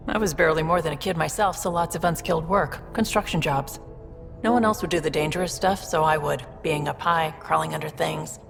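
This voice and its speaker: An actress playing a tough, guarded alien smuggler in a video game, speaking in a dry, matter-of-fact way. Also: female voice, low voice, brisk, creaky, in a large hall, music in the background. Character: tough, guarded female alien smuggler, pragmatic older sister. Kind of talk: video game character acting.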